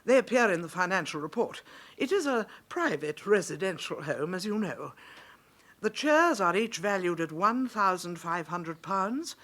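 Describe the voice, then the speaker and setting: Haughty English matron, swooping delivery. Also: female voice, on the low side, sing-song, normal speed, fairly bright tone. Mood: formal, put out. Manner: precise, formal, indignant. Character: indignant, haughty matron of an old people's home. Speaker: care home matron, theft victim. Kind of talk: British radio drama acting.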